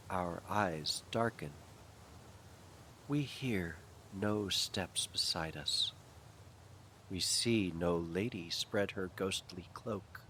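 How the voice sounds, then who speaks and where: A man narrating a ghost story as a slow, atmospheric dramatic reading, hushed and deliberate, with pauses between short phrases. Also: low-pitched, a little hiss or hum.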